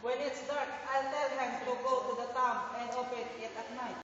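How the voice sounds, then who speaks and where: A calm priest, acted by a woman, lays out a risky plan in a measured voice. The recording has a long, echoing hall sound with the mic far away. The sound is dull, with audible noise behind. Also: high-pitched, close to an everyday voice. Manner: steady, explaining plans. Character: calm scheming old priest.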